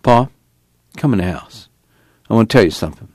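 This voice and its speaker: A level-headed young farm son, as a male narrator voices him in an audiobook. He talks calmly and practically in folksy rural speech, with a lively pitch. The recording is dry. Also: fairly quick, dry recording.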